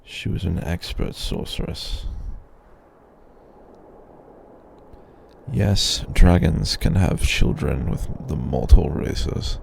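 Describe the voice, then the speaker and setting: Arrogant old-world dragon captor, really low growly voice, swings up and down, breathy asmr, bit of background noise. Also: male voice, a little mellow, heavily acted. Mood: wistful, musing. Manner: haughty, rambling, with pauses and sighs. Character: possessive, arrogant male blue dragon captor. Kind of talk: slow roleplay ASMR monologue to the listener.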